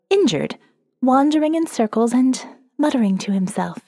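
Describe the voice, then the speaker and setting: A woman's voice: on the high side, a little mellow, no room sound, clean studio sound, long reverb tail. A woman reading as a sardonic young college student narrating her survivor's diary in a wry, profane, conversational way for an audio novel. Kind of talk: first-person diary narration, dramatic reading.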